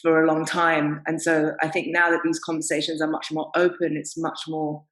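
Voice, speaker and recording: A woman's voice: deep voice, completely flat delivery, normal speed, a bit bright, reverberant, low-quality recording. A woman panelist telling candid stories in a panel discussion, often laughing as she talks. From England.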